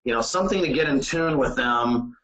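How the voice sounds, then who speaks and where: A man giving informal sales training in a halting, conversational way, often saying "you know". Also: mid-range voice, a bit bright. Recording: roomy, echoey sound.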